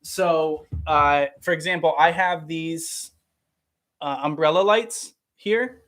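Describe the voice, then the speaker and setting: Chatty American man, slightly high voice. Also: slow, fairly bright tone. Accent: standard American. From Ohio, United States. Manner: enthusiastic, rambling, many fillers and restarts. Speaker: actor hosting a live Q&A. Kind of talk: casual livestream chat.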